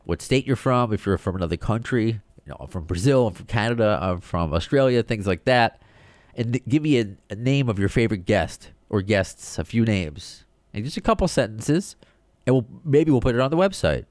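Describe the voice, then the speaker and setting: A man from the United States hosting a solo podcast, talking in an excited, rambling way with many restarts. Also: lowish voice, moderate pace, no echo. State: short on sleep. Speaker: podcast host.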